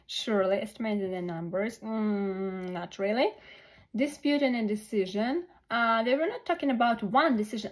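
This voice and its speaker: A woman walks through exam questions out loud, hesitating and filling pauses as she reasons. Her voice sits a little high, in a casual tutoring tone. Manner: hesitant, many fillers, slow and thinking aloud. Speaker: test-prep tutor. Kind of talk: thinking-aloud test walkthrough.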